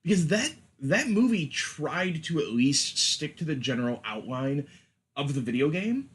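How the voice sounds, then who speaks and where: A man's voice: a little high, very animated, a little slow. A man hosting a casual podcast discussion, framing questions for the panel in a conversational way. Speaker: podcast host.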